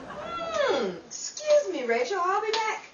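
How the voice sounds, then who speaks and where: An arch, scheming 1950s Hollywood gossip writer, played by a woman in a radio play. Her high voice swoops theatrically, picked up from afar in an echoey room. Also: wildly swooping pitch, slow pace, muffled recording, exaggerated voice. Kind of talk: 1950s radio drama acting. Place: small indoor room staged as radio studio. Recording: echoey noisy room, distant camera mic.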